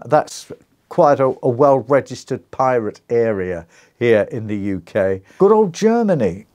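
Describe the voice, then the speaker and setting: A man's voice: mid-range voice, a little mellow. A British man in his sixties presenting a casual, informative vlog in a chatty, musing way, asking rhetorical questions. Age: 60s. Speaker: YouTube presenter on shortwave radio. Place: small indoor radio den full of vintage sets. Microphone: small clip-on lapel mic.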